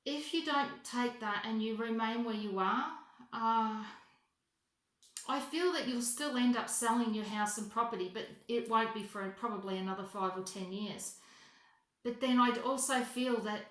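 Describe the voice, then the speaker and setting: A woman's voice: on the high side, a bit bright. Woman giving a psychic reading, echoey room. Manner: halting, self-correcting, repeats phrases for emphasis. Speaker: psychic reader. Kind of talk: unscripted psychic reading left as a voicemail. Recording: roomy, echoing voice recording.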